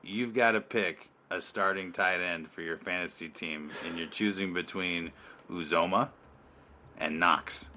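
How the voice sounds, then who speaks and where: A man co-hosting a casual sports podcast, talking in a measured way with hesitant restarts. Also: deep voice, fairly even intonation, sharp tone. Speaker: podcast co-host.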